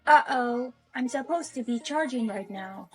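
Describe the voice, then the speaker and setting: Woman as a high-voiced curious robot girl. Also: a little slow, breathy edge. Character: naive curious robot girl questioning humans. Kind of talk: short comedic skit acting.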